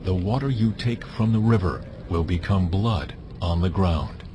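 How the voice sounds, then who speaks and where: An actor playing God as a solemn, deliberate, authoritative divine voice in a dramatized scripture reading. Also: male voice, deep voice, expressive, background noise. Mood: stern, authoritative.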